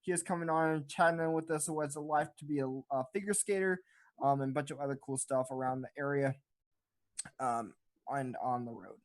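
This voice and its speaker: A man's voice: mid-range voice, fairly even intonation, a little fast. A man hosting a talk show, chatting and making announcements to listeners. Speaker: podcast host.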